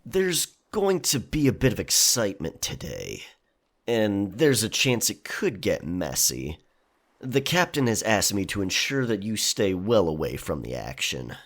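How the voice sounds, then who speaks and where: An actor playing a roguish, protective pirate in an audio drama, speaking in a measured, dry, politely threatening way. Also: male voice, lowish voice, huge pitch swings, a bit bright, full character voice. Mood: grim, controlled. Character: roguish male pirate, politely menacing, protective, dryly teasing.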